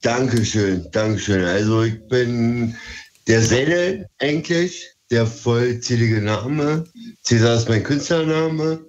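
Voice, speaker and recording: Slow, nervous low-voiced man on a phone line. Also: a little mellow. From Berlin, Germany. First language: Turkish. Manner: hesitant, nervous, halting. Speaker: caller. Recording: dull phone-line call.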